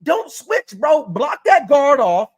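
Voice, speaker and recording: A man co-hosting a casual sports podcast, animated and emphatic, repeating himself, slightly worn voice. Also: very high-pitched, slow. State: losing his voice. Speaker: podcast co-host.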